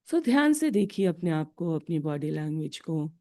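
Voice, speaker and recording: A woman with an Indian accent leading a guided meditation in a deliberate, instructional way, pausing between short phrases. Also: low-pitched, very animated, dark tone.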